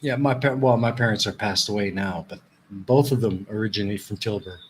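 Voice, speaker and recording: A man's voice: lowish voice, fairly quick, a little hiss or hum. A man who grew up in Canada with Dutch as his first language, hosting a casual live stream, rambling and repeating himself as he reads viewers' chat.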